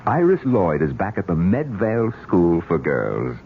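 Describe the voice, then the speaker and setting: A tinny old radio recording of a scheming gentleman villain. His low voice swings very widely in pitch, theatrical and smooth-talking in the manner of a mystery play. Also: huge pitch swings, unhurried, a little hiss or hum, exaggerated voice. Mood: smug, relieved. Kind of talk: 1970s radio drama acting.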